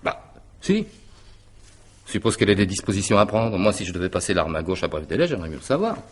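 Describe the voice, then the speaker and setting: An actor playing a sardonic, flippant ex-husband with an ironic, mocking drawl, in 1950s French radio drama style. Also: male voice, low voice, lively intonation, fast.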